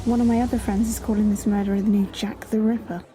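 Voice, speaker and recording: A woman's voice: medium pitch, little pitch movement, fast, warm tone, soft background music, natural voice. A young woman playing a level-headed, dryly teasing college student in an amateur audio drama. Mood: thoughtful.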